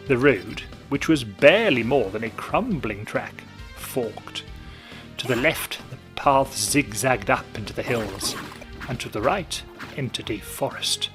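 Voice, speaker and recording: Male storyteller, unhurried, big lively pitch, dramatic and playful. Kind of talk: scripted story narration.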